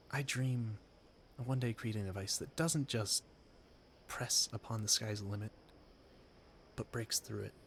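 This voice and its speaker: A man playing an earnest, dreamy young gentleman inventor in a romantic audio roleplay, formal and wistful in manner. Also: fairly low pitch, vocal fry, a little hiss or hum. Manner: wistful, formal, flustered stammering when startled.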